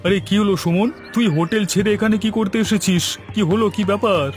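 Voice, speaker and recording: A man's voice: high-pitched, steady tone, brisk, natural voice. A man voicing a bossy, agitated, scheming rival eatery owner in a cartoon. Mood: alarmed. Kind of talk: cartoon voice acting. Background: music.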